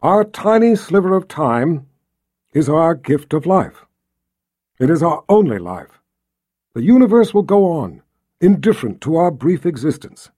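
Audiobook role, male reader: proud lordly officiant, ceremonial and emphatic, raised pitch with big swings, slightly rough tone. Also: big pitch swings, measured pace, dark tone, full character voice. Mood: solemn, proud. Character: proud, solemn lord officiating a wedding. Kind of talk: audiobook character acting.

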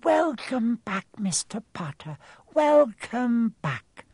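A frail old barkeeper in a storybook reading, his thin, very high voice trembling and dropping to breathless whispers, as a man puts him on. The dry, close sound keeps every shaky breath near. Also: very animated, takes it slowly, breathy edge, dry recording, full character voice. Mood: tearful awe.

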